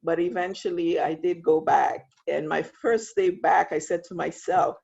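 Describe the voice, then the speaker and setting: Middle-aged woman from guyana, gravelly husky voice, laughs a lot telling her story, muffled video call audio. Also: on the low side, steady tone, measured pace, darkish tone. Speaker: guest, school leader. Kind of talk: interview, personal story.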